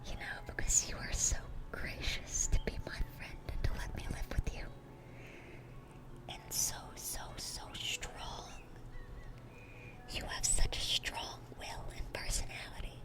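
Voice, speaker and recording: A woman playing a dorky, smitten young demon girl in a gentle, rambling, affectionate ASMR roleplay. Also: a little slow, close to an everyday voice. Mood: tender, affectionate.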